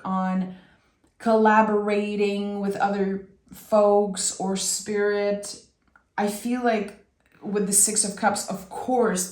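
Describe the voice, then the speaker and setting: A woman with a raspy voice giving a soft, reflective tarot reading, speaking directly to the listener as host. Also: medium pitch, echoey room. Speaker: tarot reader and channel host.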